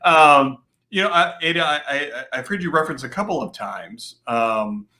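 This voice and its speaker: A man moderating an interview in a casual, conversational way, somewhat halting as he frames his questions. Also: a little high, lively intonation, bright, forceful tone.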